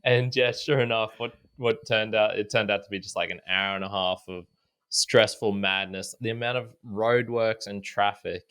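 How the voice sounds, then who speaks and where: A man's voice: on the low side, steady tone, moderate pace, a bit bright, clean tone. A man hosting a podcast, chatting casually and rambling through his stories. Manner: rambling, many restarts and fillers. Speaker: podcast host. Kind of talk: casual chat.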